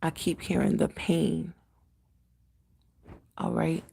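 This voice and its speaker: A woman with a raspy, cracking voice, working as a spiritual medium and leading an earnest prayer on a livestream. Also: lowish voice, level tone, slow pace, dark tone. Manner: earnest, prayerful, repeats invocations.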